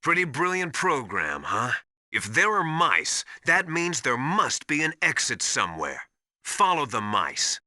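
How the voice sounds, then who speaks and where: A very bright, close and dry male voice with lively intonation. He is a seasoned military survival mentor in a video game, giving practical, encouraging briefings in a matter-of-fact way. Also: medium pitch, unhurried, no echo, exaggerated voice. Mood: instructive.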